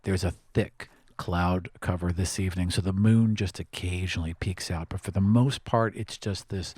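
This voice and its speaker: A man narrating as game master in a calm, descriptive way. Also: deep voice, no echo.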